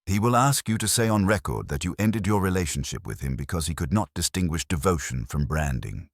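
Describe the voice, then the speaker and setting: A man's voice: sits low, expressive, brisk, no room sound, clean studio sound. A man voicing a shrewd, commanding master detective in an audiobook, speaking formally, precisely and with authority. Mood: stern, methodical.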